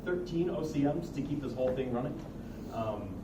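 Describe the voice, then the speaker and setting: A man's voice: mid-range voice, animated, fairly quick. A young man speaks as a student presenter, giving an informal technical presentation. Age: young adult. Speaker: student presenter introducing a game project. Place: large echoey indoor room at a student showcase. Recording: distant mic, echoey room, heavy noise.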